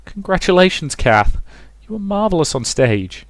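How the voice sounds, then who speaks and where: A man's voice: high-pitched, very animated, moderate pace, no echo, voice pushed up. A man voicing a cheerful, affectionate, teasing young Parisian lady in a visual novel. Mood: delighted.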